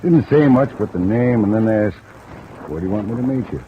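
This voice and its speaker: A male actor plays a weary, streetwise American gumshoe from a vintage radio mystery. He talks low, offhand and a little defensive. The sound is muffled, with steady rain behind him. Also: a little fast, a little hiss or hum, lightly acted. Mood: matter-of-fact. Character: jaded, defensive male private detective. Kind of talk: old-time radio drama acting.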